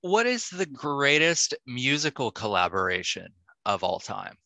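A man works as a podcast host, putting casual questions to a guest. His voice is bright and close with a dry sound, and his pace is slow. He sounds encouraging and keeps his responses short. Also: mid-range voice, sing-song, creaky, dry recording. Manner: encouraging, brief approving replies.

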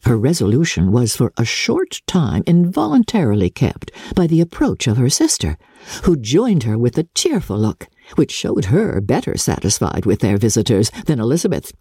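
A woman with a very low, mellow voice reads classic fiction aloud as a dramatic narrator. Her phrasing is formal and theatrical, with sweeping pitch movement. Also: wildly swooping pitch, normal speed, breathy edge, dry recording, clean studio sound. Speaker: audiobook narrator.

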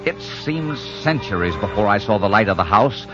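An actor playing a rugged, defiant romantic hero and narrator in a 1940s radio drama, intense and dramatic. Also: male voice, fairly low pitch, expressive, moderate pace, natural voice. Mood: grim, dramatic. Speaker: leading man and narrator. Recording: dull 1940s radio recording, music behind.

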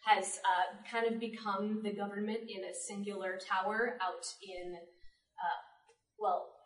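A woman's voice: medium pitch, level tone, a little slow. A woman moderating a live panel in a casual, conversational way as its host. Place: public library event room. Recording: roomy live panel recording, distant mic.

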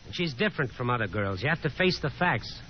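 An actor playing a restless, sardonic young son in a 1950s radio drama, speaking in a dry, wry, weary manner. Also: male voice, medium pitch, sing-song, quick pace, slight background noise, close to an everyday voice. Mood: weary, frank. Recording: dull vintage radio broadcast.

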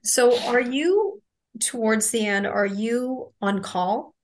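A woman's voice: on the high side, lively intonation, slow. Woman host, faint hiss, distant mic. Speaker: podcast co-host. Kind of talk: interview questions.

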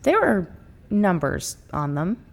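Curious female spy sidekick, audio drama: wondering, questioning, very lively intonation. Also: fairly low pitch, slow pace, vocal fry, exaggerated voice. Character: inquisitive, easily amazed female intelligence agent sidekick.

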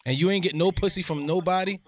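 A man co-hosting a podcast, trading rowdy jokes and insults with a caller, swearing freely and laughing loudly. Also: high voice, fast. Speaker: podcast co-host. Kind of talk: loud joking banter.

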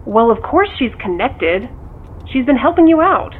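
An actress playing a skeptical, teasing confidante in a naturalistic audio drama, wry and questioning, heard through a phone call. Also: female voice, on the high side, big pitch swings, normal speed. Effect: phone call filter. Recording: dull phone call over vehicle hum.

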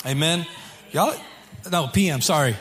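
A man preaching as a pastor to his congregation in an informal, halting way, repeating phrases, with a slightly hoarse voice. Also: on the high side, a bit bright. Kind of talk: sermon to a congregation. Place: church service.

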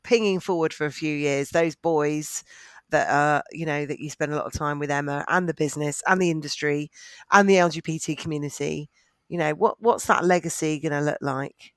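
A co-host leading an interview, effusive and complimentary, and slow and deliberate when setting up questions. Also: mid-range voice, fairly even intonation, a bit bright, no echo. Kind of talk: podcast interview.

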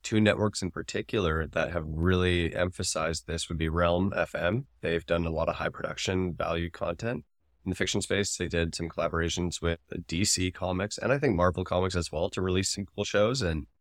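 A man's voice: low-pitched, steady tone, brisk, dry recording, studio quality. A man co-hosting a podcast, explaining his opinions in casual discussion. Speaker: podcast co-host, advertising commentator.

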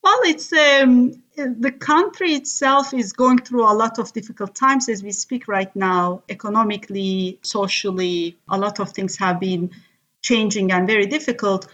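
A woman's voice: mid-range voice, measured pace, a bit bright, highs cut off. A woman from Turkey with a Turkish accent, a native Turkish speaker, chatting casually as a guest on a podcast. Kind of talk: casual conversational interview.

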